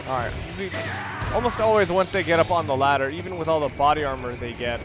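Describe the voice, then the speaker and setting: A man's voice: a little high, quick pace. A man giving casual let's play game commentary, explaining things analytically and often correcting himself as he goes. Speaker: gameplay commentator. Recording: noisy recording with clear background noise.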